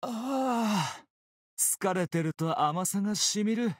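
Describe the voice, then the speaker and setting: A man's voice: high-pitched, animated, takes it slowly, breathy edge, dry recording, studio quality, exaggerated voice. A man playing an easygoing, teasing young swordsman in a mobile game, with a casual, playful drawl. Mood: relaxed, playful. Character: easygoing, teasing young swordsman, laid-back surfer temper. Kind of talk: Japanese mobile game character voice lines.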